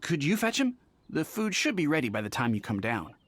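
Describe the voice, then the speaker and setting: Lively, gracious game innkeeper. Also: female voice, low-pitched, brisk, no echo. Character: warm, capable innkeeper woman, wry and gracious. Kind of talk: video game voice acting. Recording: music under the voice.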